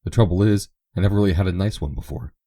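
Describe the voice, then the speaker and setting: A man's voice: sits low, fast talker, warm tone, no room sound, clean studio sound, voice pushed up. A man voicing a glum young aspiring author who speaks in a subdued, earnest way.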